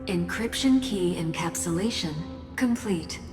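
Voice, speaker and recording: A woman's voice: on the low side, expressive, unhurried. A woman voicing an impassive female computer system in a video game, making clipped, emotionless announcements. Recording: long hall reverb under music.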